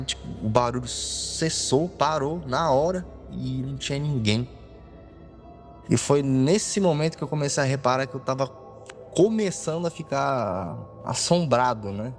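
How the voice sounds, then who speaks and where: A man in his twenties with a Brazilian accent telling a personal story informally, recorded on a phone, with reverb and music. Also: medium pitch. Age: 20s. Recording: cleaned-up phone audio, long reverb.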